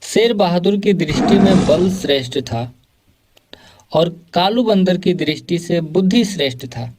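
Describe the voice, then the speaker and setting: A man's voice: sits high, expressive, unhurried, a little mellow, slight background noise. A man reading scripted narration for a children's moral story.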